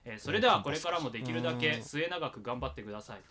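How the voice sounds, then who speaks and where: A man's voice: mid-range voice, expressive, rapid-fire, a loud crowd. A man hosting a casual, comedic podcast and reading out listener mail.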